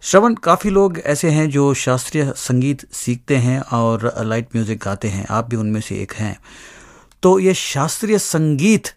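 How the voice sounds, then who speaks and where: A man works as a radio interviewer, putting questions to his guest. His voice sits at a middle pitch. The sound is dry, with no room around it. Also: animated, measured pace, dry recording.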